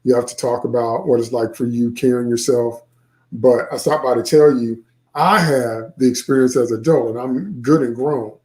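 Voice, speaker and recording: A middle-aged man speaks as an author in an informal talk. His voice is warm and mellow, and he stresses his points by repeating phrases. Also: medium pitch, fairly even intonation, moderate pace. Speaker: author presenting his book. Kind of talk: informal talk to viewers about his book.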